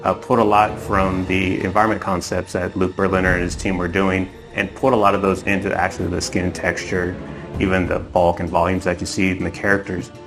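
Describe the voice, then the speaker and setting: A man with a very low voice explains his work in an interview. He talks quickly, and music plays clearly behind him. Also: little pitch movement. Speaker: lead character concept artist. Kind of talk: documentary interview.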